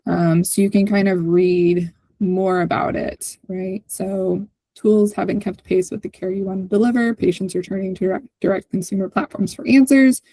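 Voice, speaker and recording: Woman, mellow and dry-sounding, conversational presenter, informal explainer, checks in often. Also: mid-range voice, steady tone, normal speed, no echo. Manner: conversational, often ends points with a checking "right?". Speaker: host presenting on a community call. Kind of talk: informal software walkthrough and explanation. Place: online video call with screen sharing.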